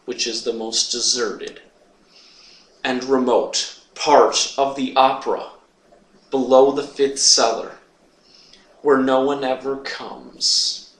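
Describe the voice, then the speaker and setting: A man playing a tormented, obsessive, lovesick Phantom in a drawn-out, theatrical stage monologue. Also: medium pitch, pushed and bright. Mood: coldly menacing. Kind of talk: dramatic monologue reading. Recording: roomy echo, distant microphone.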